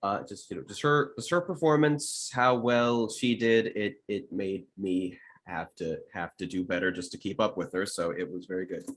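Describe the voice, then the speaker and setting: A man talking casually on a panel as a producer and voice actor, in a halting way with repeated restarts. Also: deep voice, fairly bright tone. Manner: halting, with repeated false starts. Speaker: producer and voice actor on an audio drama. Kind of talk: casual retrospective panel chat.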